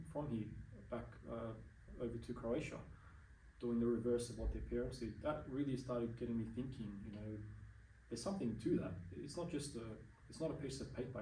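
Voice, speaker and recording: A man's voice: mid-range voice, mellow, roomy sound, recorded from a distance, slight background noise, rough recording. A young adult Australian man in an interview, speaking earnestly and measuredly, with frequent ums and restarts. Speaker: interview guest. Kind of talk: casual interview chat.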